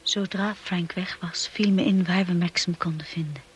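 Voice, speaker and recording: An actress playing an anxious, timid young wife in a radio drama, earnest and breathy. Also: female voice, on the low side, fairly even intonation, normal speed, soft background music, lightly acted. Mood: haunted, hushed. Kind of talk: radio drama acting with narration. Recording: hissy old radio play recording.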